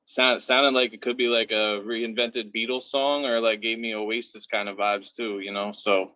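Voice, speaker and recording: Flat-voiced, enthusiastic man on a dry call. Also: lowish voice, moderate pace, dry recording. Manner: enthusiastic, casual slang. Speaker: fellow guest. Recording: dry, dull call audio.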